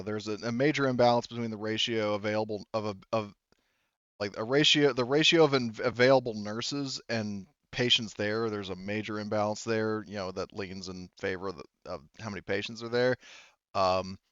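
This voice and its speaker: Low-voiced, halting male game master. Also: fairly bright tone, clear, resonant tone, no echo, dull audio. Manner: hesitant, halting delivery. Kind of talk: improvised narration of a tabletop game.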